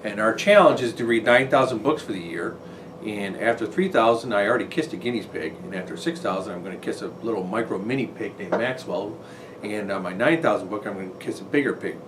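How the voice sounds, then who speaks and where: A man's voice: lowish voice, expressive, a little fast. A man on a school's staff informally introducing a reading challenge. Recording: noisy room ambience.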